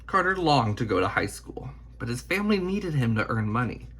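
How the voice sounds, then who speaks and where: A man reading a children's picture book aloud in deliberate, emphatic phrasing. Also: mid-range voice, sing-song, fairly bright tone, breathy edge. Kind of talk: scripted storybook read-aloud.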